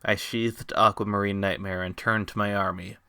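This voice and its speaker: A man's voice: lowish voice, flat delivery, normal speed, pushed and bright, clean tone, dry recording, studio quality. A man giving a dramatic scripted narration of a fantasy story. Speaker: narrator, fan fiction reader.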